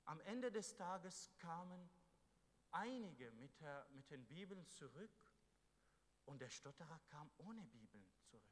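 Fervent male preacher in an echoey hall. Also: on the high side. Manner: fervent, swings from loud exhortation to quiet. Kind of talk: sermon. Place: church hall. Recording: echoey hall with faint hum.

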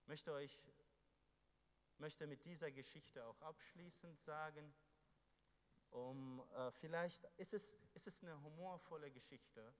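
A man preaching a sermon to a congregation, fervent, moving between loud exhortation and quiet, earnest passages. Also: mid-range voice. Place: church hall. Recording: echoey hall with faint hum.

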